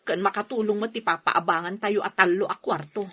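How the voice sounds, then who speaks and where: A woman playing an aggrieved, hot-tempered mother in a radio drama, with a husky voice and emphatic delivery. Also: medium pitch, steady tone, brisk, a bit bright. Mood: bitter. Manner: scolding, emphatic. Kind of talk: radio drama acting in Ilocano.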